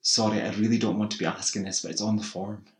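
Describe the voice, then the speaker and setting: A man's voice: on the low side, steady tone, quick pace, natural voice. A man voicing a polite, apologetic young woman nurse. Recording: roomy echo.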